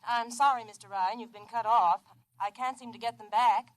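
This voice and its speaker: A woman's voice: fairly high pitch, fairly even intonation, normal speed, close to an everyday voice. A woman playing a courteous, businesslike telephone operator in a 1950s radio drama. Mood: polite. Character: polite female telephone switchboard operator. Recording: noisy, clicking 1950s radio recording.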